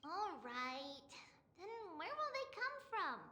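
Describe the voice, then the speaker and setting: Very high-pitched little girl. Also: big pitch swings, slow, bright, forceful tone, full character voice. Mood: eager. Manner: bubbly, childlike, hyper. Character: excitable little girl, a sweet, boastful holy maiden. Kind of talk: video game voice acting. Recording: echoey long reverb with music behind.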